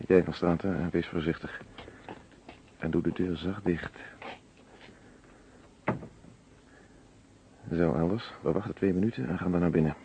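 A man's voice: deep voice, brisk, gravelly, mellow, dull audio. An actor playing a businesslike, dryly wry male police inspector in a radio play, speaking Netherlandic Dutch in a commanding way. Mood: hushed, businesslike. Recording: noisy old radio play recording.

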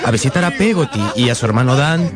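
An actor playing an earnest, polite young man who tells his own story in a radio drama, with measured, expressive delivery. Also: mid-range voice, natural voice. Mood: reflective. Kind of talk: scripted narration with acted dialogue, radio drama. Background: background music.

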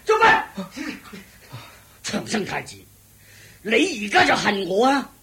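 A defiant warrior king, all bluster and stammer in a radio drama. The voice is pitched high and swoops dramatically, recorded with a clear echo. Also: sing-song, takes it slowly, pushed and bright, exaggerated voice. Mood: defiant, bitter. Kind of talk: Cantonese radio drama acting.

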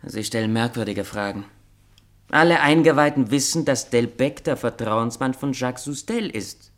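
An actor playing an insistent French political informant in a German radio play, speaking formally and pointedly. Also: male voice, medium pitch, close to an everyday voice.

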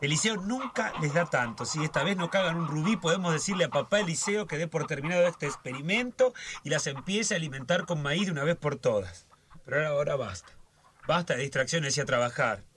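A man's voice: fairly high pitch, lively intonation, a little fast, fairly bright tone. An actor playing a nervous, fussy, self-dramatizing man who frets and exclaims to himself in a radio drama. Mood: exasperated. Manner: fretful, histrionic, muttering and exclaiming.

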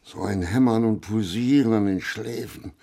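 A man's voice: on the low side, vocal fry, dark tone. An actor in a German radio drama playing a weary, troubled male trumpeter, brooding and melancholy as he muses. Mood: ailing, bleak. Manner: slow, brooding, musing.

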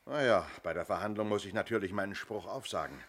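Sharp, sardonic police inspector. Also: male voice, lowish voice, fast talker. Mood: sardonic, coaxing. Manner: barking orders, mocking, needling. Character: brash, sardonic male police inspector commanding an arrest. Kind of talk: 1970s German radio play acting.

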